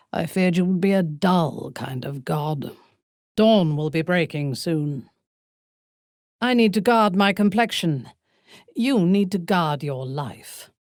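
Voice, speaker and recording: A ruthless dark elf noblewoman from a fantasy video game. Her low voice moves slowly and deliberately, swinging widely in pitch. She is imperious and sardonic, with formal diction and cool menace. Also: no echo, studio quality, exaggerated voice. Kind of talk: video game character voice acting.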